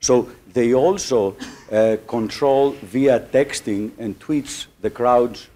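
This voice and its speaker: A man from Greece making an audience comment at a conference, halting with many uhs and restarts. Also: medium pitch, warm tone. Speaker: audience member.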